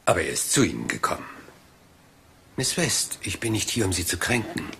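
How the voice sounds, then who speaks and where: A man's voice: deep voice, very animated, normal speed, creaky, sharp tone, slight background noise, exaggerated voice. An actor playing a rumpled, polite but shrewd police detective in a German radio crime drama, rambling and hesitant as he casually asks questions. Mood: gentle, probing.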